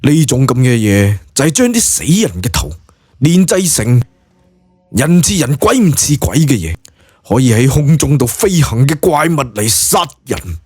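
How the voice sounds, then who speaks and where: Animated Cantonese male storyteller, slightly husky. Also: medium pitch, measured pace, no echo, faint music behind. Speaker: audiobook narrator. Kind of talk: dramatic scripted story narration.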